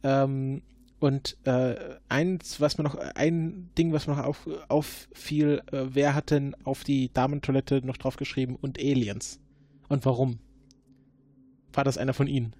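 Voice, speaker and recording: A man improvising questions and deductions in a role-play investigation, haltingly repeating words as he thinks. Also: medium pitch, fairly even intonation, darkish tone. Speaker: player questioning suspects in an investigation game.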